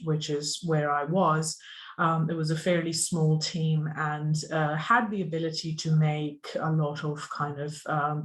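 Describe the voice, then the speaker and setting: A speaker presents over a video call, in a level voice that stays close to one pitch. The delivery is earnest and halting, full of ums and small restarts. It sounds like a software engineer talking to fellow professionals. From Pakistan. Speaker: software engineer giving a conference talk. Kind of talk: online presentation.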